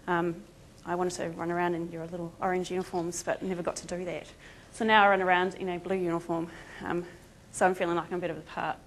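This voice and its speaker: An Australian woman whose accent has shifted toward New Zealand gives a conference presentation. She talks in a chatty, self-deprecating way and leans on frequent ums between thoughts. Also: medium pitch. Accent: New Zealand-tinged Australian. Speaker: conference speaker, veterinary emergency team member.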